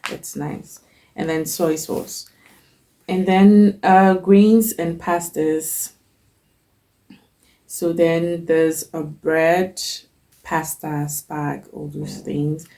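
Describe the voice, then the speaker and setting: A woman's voice: low voice, fairly even intonation, drawn-out words, gravelly, warm tone. A young woman hosting her cooking channel, chatting casually through a list with frequent ums while she has a cold. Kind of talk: casual talk to camera.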